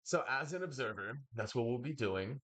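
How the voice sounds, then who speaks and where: A young man, raised speaking Bulgarian first, giving a slow, wordy commentary full of pauses as he reacts to a video. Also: mid-range voice, lively intonation, a bit bright. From United States. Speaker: reaction streamer. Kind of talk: casual commentary.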